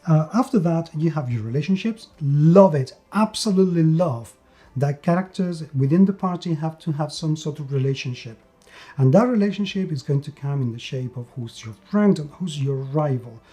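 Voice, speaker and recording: A man giving a conversational tabletop game review, emphatic, with repetition and dramatic pauses. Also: fairly high pitch, lively intonation, warm tone. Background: background music.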